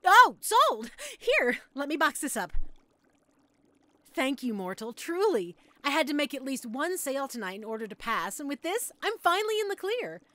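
A woman's voice: sits high, sing-song, moderate pace, fairly bright tone, no room sound. A woman playing a chatty, flustered young witch-in-training shopkeeper in an audio roleplay, with a theatrical, rambling manner. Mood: eager, excited. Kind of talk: scripted audio roleplay, addressed to the listener.

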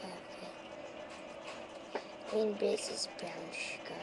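A woman hosting a homemade cooking show, narrating each step slowly and haltingly. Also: medium pitch, steady tone, loud music behind the voice. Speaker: home cooking show host. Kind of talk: casual cooking demonstration. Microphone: webcam microphone. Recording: noisy webcam recording.

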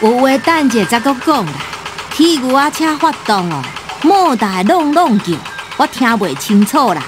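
A woman's voice: high voice, very animated, measured pace, background noise. A woman playing a feisty elderly farm wife in a radio drama, nagging and earthy but fond, with a Taiwanese accent. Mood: grumbling.

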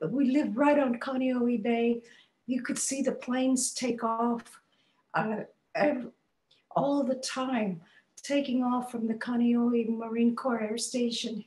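Older woman author, slow and halting, many restarts; echoey home video-call sound. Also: fairly high pitch, a little mellow. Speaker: author and guest. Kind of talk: conversational interview answers. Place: home study with bookshelves, indoors.

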